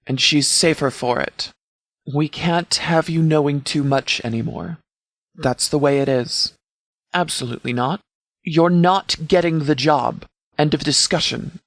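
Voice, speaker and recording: A man voicing a stern, commanding sheriff who leads a wasteland militia in a video game, speaking in a formal, measured, authoritative way. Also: fairly high pitch, no echo, natural voice. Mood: firm. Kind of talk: scripted video game dialogue.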